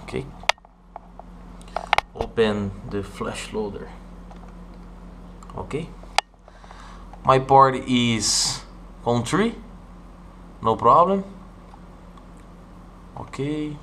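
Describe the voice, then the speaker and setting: A man from Brazil with a Brazilian Portuguese accent, walking through a hardware setup in halting English, stopping often to ask "okay?". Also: mid-range voice, background noise. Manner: halting, with many "uh" and "okay?" checks. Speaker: hobbyist showing a hardware setup. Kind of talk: hands-on tutorial walkthrough. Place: indoor room at a desk.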